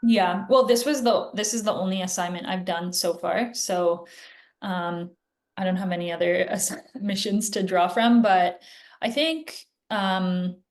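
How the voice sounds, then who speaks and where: A woman physician answering interview questions in a casual, chatty way, often pausing with "like" and "um", heard over a video call. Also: medium pitch. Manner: chatty, filler-heavy, frequent "like" and "um". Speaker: guest physician.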